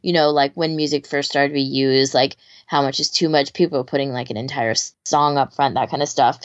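A woman with a low voice talks casually as a podcast co-host. The sound is dry and slightly muffled. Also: fairly even intonation, a little fast, no echo. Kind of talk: casual discussion.

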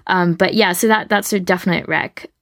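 Creaky-voiced woman co-hosting a podcast. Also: on the low side, steady tone, normal speed, no room sound, clean studio sound. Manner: conversational, explanatory. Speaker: podcast co-host. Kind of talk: casual explanatory chat, giving recommendations.

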